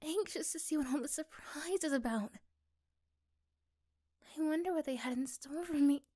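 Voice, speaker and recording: A woman playing an affectionate, curious girlfriend in an ASMR roleplay, speaking gently and haltingly. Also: sits high, close to an everyday voice. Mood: curious, anxious.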